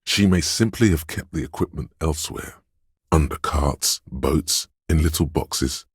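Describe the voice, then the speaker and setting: A man voicing a suave, wry inner voice of a detective in a video game, narrating in a measured, knowing way. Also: very deep voice, husky, no room sound, studio quality, heavily acted. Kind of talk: second-person game narration.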